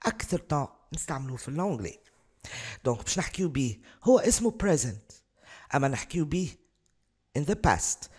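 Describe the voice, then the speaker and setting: A woman's voice: low-pitched, big pitch swings, darkish tone, breathy edge. A Tunisian woman, a native Arabic speaker with a Tunisian accent, teaching a revision lesson patiently and repeating examples. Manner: explains patiently, repeats examples, bursts of fast speech. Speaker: English teacher. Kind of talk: exam revision lesson over slides.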